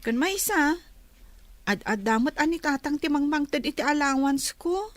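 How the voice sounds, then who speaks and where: A woman's voice: high voice, expressive, normal speed, dry recording. A woman playing a wistful, respectful teenage schoolgirl with a Filipino accent, pleading in a radio drama. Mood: hurt, pleading. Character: wistful teenage schoolgirl longing for united parents.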